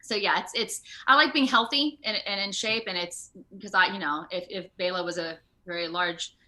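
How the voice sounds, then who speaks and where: An American woman talking casually in an interview over a video call, with an animated, rambling, self-deprecating manner. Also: medium pitch, moderate pace. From Ohio, United States. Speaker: actor being interviewed.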